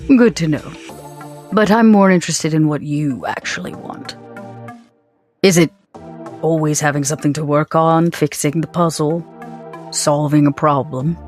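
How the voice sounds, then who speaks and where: A woman voicing a poised, probing female vampire with formal, coy, deliberate phrasing in improvised roleplay. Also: low-pitched, a little mellow, soft background music. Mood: probing, intimate. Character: poised, probing, elegant female vampire businesswoman named Vera.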